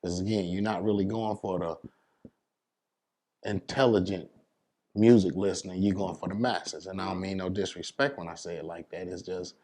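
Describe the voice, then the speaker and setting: A man from New York City with a fairly low voice, talking as a DJ being interviewed. His speech is casual and slangy, and he lays out advice with emphasis. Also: steady tone, moderate pace, darkish tone. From New York City, United States.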